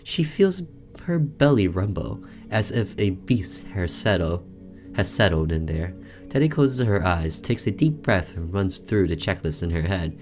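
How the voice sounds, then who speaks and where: A man's voice: low-pitched, sing-song, unhurried, a little mellow, faint music behind. A man doing let's play commentary, reading a visual novel aloud theatrically and breaking off for offhand asides.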